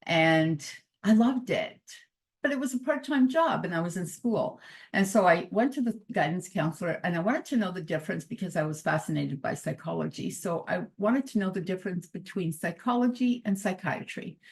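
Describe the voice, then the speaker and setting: A middle-aged woman with a fairly low voice gives an upbeat motivational talk. She speaks as a mindset coach, laughing often and hammering points home through repetition. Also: animated, moderate pace. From Canada, born in Croatia. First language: Croatian.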